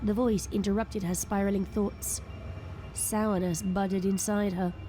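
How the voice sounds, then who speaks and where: A woman's voice: medium pitch, little pitch movement, measured pace, warm tone, slight background noise. A woman reading scripted third-person story narration as the narrator of an audio drama.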